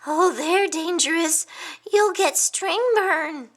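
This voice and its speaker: A woman voicing a small, anxious male porcupine who squeaks out his worried warnings. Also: slow. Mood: fretful.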